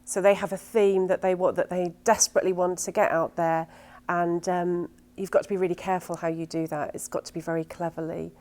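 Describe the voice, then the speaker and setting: A woman talks in a fairly low register with little melody. Her interview style is reflective and considered, with pauses as she offers professional advice. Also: little pitch movement, darkish tone. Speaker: radio drama producer giving writing advice.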